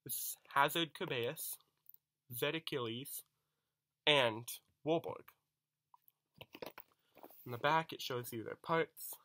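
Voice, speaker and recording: A young man with a cold chatting excitedly through a toy unboxing at home, recorded on a camera mic. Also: mid-range voice, takes it slowly, long pauses, low-quality recording. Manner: excited, chatty, self-deprecating. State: coming down with a cold. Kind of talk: casual unboxing commentary. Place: small home room, bedsheet backdrop.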